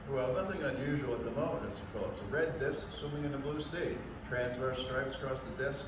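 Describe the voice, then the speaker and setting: A man's voice: medium pitch, brisk, close to an everyday voice. A man playing a learned, assured and somewhat dismissive astronomy professor in a staged reading of a radio drama. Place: staged reading in a public library room. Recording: echoey room, distant mic, noisy.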